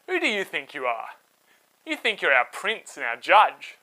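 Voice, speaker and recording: A sneering ancient brawler, acted out by a male storyteller, loud and taunting. The voice is forceful and sharp, full of confrontational swagger for a storybook tale. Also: on the high side, wildly swooping pitch, normal speed, exaggerated voice, poor audio. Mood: defiant, mocking.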